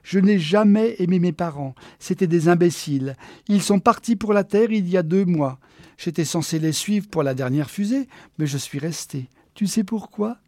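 A man's voice: high-pitched, animated, normal speed, dark tone, dry recording, studio quality, voice pushed up. A man voicing a brash, clingy, bossy woman in her twenties in a storybook reading, gushing and demanding. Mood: brash, boastful.

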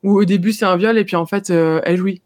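A young adult in casual discussion, talking hesitantly with many pauses and filler sounds. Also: medium pitch, fairly even intonation, a little mellow, no room sound, studio quality.

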